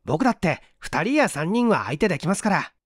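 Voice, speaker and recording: A man voicing an earnest young male assistant, courteous and brisk, in an audiobook story. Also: high-pitched, big pitch swings, no echo, clean studio sound, voice pushed up. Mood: earnest, polite.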